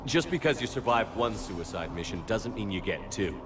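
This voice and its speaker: A man's voice: on the low side, lively intonation, normal speed, noisy background, natural voice. An actor playing a blunt, direct male starship commander who speaks tersely. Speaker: military commander. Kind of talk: video game voice acting.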